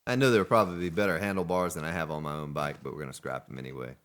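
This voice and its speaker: A man's voice: rumbling low, very fast, fairly bright tone. A man casually commenting on his gameplay as a let's play host, chatting and joking with the characters on screen. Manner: chatty, jokes at game characters.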